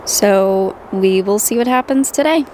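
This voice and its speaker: A woman's voice: mid-range voice, a little slow, rough recording. A woman with a nasally voice chatting casually to the camera in a car, thinking aloud and unsure of things. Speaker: vlogger. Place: inside a car. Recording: loud car and road noise. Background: loud vehicle noise.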